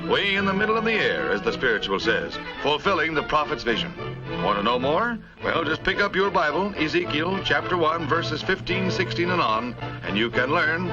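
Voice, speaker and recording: A man's voice: on the high side, big pitch swings, moderate pace. An American man reading scripted 1950s newsreel narration in a dramatic, declamatory announcer's delivery. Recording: dull old film soundtrack, music underneath.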